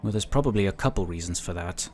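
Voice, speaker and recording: A man playing a wry, cautious and protective inquisitor in a sci-fi audio drama, with measured, articulate delivery. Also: low-pitched, a little mellow, lightly acted. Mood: wry, informative.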